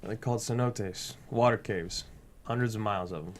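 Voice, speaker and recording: A man's voice: lowish voice, normal speed, close to an everyday voice. A man playing a cynical, crude diver for hire in a script table read, blunt and dismissive. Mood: cynical, musing. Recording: faint background noise.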